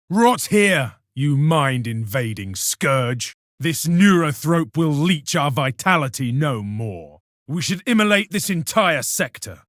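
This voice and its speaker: A proud, contemptuous space warrior declaims his video game battle lines with solemn zeal. His voice sits fairly high for a male and moves dramatically up and down. The delivery is measured, militant and commanding, captured close and dry. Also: a bit bright, no echo. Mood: stern resolve.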